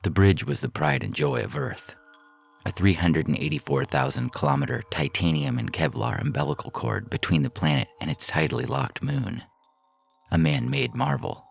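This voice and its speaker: A man narrating science fiction stories in a measured, deliberate way, with a gravelly voice. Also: deep voice, big pitch swings. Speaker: podcast story reader. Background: music.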